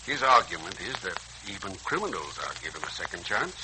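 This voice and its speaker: An older American actor playing a kindly, modest, philosophical uncle in a 1940s radio serial, folksy and earnest. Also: male voice, low voice, big pitch swings, brisk. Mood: reluctant, thoughtful. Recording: noisy, scratchy 1940s radio recording.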